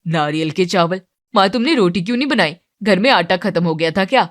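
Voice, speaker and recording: A woman's voice: fairly low pitch, expressive, fairly quick, lightly acted. A woman voicing a food-loving young adult son in a cartoon moral story, questioning in a familiar family manner. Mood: complaining.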